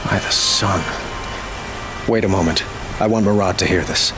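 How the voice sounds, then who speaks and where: Man acting earnest, courtly king, lowish voice. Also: normal speed, noisy background, natural voice. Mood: concerned. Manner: formal, eloquent, hesitant. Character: earnest, courtly young male Sun King. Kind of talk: video game dramatic dialogue.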